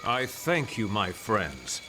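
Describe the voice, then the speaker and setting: An actor playing a noble, earnest thunder god in a video game, speaking in formal, heroic declamation. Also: male voice, fairly low pitch, sing-song, slow. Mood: resolute. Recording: voice over prominent music.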